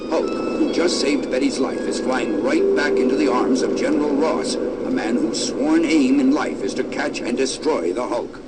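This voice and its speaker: A man's voice: mid-range voice, normal speed, hardly any pauses, heavy background noise, lightly acted. A man giving dramatic, portentous scripted narration for a superhero radio drama. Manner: theatrical, portentous. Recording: loud music behind.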